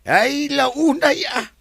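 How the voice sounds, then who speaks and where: A man with an Ilocano accent playing an eager, deferential resort worker in a comedy radio drama, full of drawn-out exclamations. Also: medium pitch, big pitch swings, bright, forceful tone, no room sound, heavily acted. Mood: excited. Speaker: voice actor.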